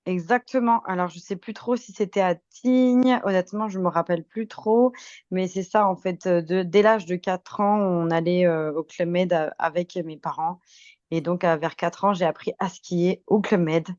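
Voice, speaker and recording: A woman in her twenties chats casually as a podcast guest, in a slightly low voice. She has a French accent with a faint Québécois tinge and a chatty, good-humored manner. The sound is dry and a little dull. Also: fairly even intonation, moderate pace, a little mellow, no echo. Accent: French from France with slight Québécois tinge. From France. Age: 20s. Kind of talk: casual chat, telling anecdotes.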